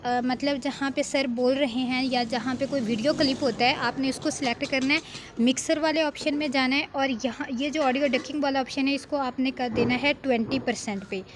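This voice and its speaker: High-pitched woman teaching. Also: a little hiss or hum. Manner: step-by-step, frequent check-in tags. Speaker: video editing instructor. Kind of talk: informal software tutorial narration.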